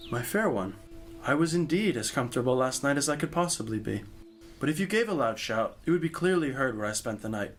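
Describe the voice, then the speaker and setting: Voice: medium pitch, expressive, fairly quick, soft background music, close to an everyday voice. An actor playing an earnest, impulsive young male knight in an audio drama, exclamatory and courtly in phrasing. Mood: courteous.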